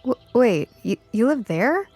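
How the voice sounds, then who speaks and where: A woman's voice: on the high side, very animated, measured pace, darkish tone, soft background music, voice pushed up. A woman voicing a curious, science-minded young girl in an audiobook, earnest and eager in manner. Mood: astonished. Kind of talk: audiobook character dialogue.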